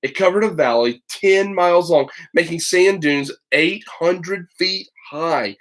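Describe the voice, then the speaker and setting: Male storybook reader, teacher type, mid-range voice, animated, conversational, drops in playful asides. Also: medium pitch, low-quality recording. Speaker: teacher reading aloud to children online. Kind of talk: expressive storybook read-aloud with casual asides.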